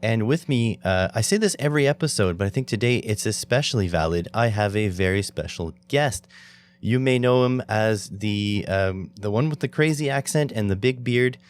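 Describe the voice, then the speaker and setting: A man from Canada hosts a casual podcast in a fairly low voice. He chats in a friendly, enthusiastic way and often uses fillers. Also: expressive, normal speed, clear, resonant tone. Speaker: podcast host. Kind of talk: casual interview chat.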